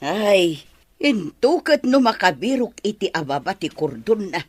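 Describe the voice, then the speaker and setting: Village gossip, medium-pitched. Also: female voice, big pitch swings, unhurried, husky, no room sound. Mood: gossipy. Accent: Ilocano. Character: gossiping village woman tattling on the captain. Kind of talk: comedy radio drama acting.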